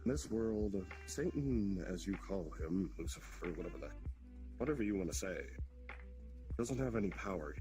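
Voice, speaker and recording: A man's voice: low-pitched, lively intonation, moderate pace, low-quality recording. A man playing a blunt demon king. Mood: calm, explaining. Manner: blunt, explains patiently, swears. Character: demon king, ancient creator of monsters. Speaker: roleplay player. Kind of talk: in-character roleplay dialogue. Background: background music.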